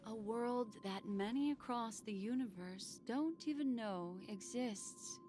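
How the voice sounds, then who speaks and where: An actress playing a solemn, mysterious storyteller, narrating in grand, measured phrases like an epic game trailer. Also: female voice, a little high, warm tone. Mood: solemn wonder. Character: solemn, mysterious storyteller woman describing distant worlds. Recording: clear music behind the voice. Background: music.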